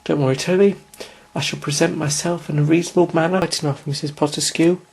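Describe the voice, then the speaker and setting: A performer voicing a bold, theatrical man who speaks quickly and forcefully. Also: medium pitch, steady tone, vocal fry, close to an everyday voice. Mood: firm. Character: brisk, bold man, the Doctor.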